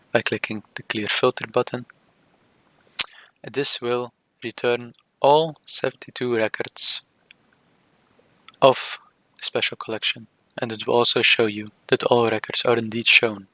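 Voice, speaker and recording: A man narrating a software tutorial step by step, speaking in short, measured, halting phrases. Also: mid-range voice, steady tone, no echo. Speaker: software demonstrator. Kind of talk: scripted tutorial narration of a screen demo.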